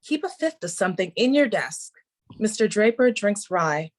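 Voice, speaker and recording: A woman acts a knowing office manager in her twenties. Her mid-pitched voice is brisk and businesslike, giving instructions in an informal drama table read. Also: sing-song.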